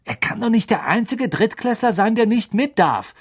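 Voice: medium pitch, animated, normal speed, slightly rough, no room sound, studio quality, pitched above the performer's own voice. A narrator voicing a hot-headed, grumpy teenage schoolboy in an audiobook, blunt, irritable and complaining. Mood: insistent. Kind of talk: audiobook character acting.

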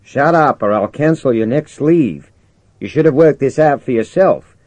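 A man playing a brisk, assured spaceship commander in an audiobook, persuasive and commanding. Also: mid-range voice, lively intonation, darkish tone, no room sound, voice pushed up, natural voice. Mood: brusque, instructive. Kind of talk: audiobook character dialogue.

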